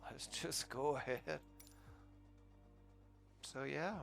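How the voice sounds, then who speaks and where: American guy rambling thru a flight sim, super gravelly voice but kinda bright, easygoing. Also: medium pitch, very slow, soft background music. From United States. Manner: rambling, easygoing, chuckles at himself. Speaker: flight sim gaming channel host. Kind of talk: casual gameplay commentary.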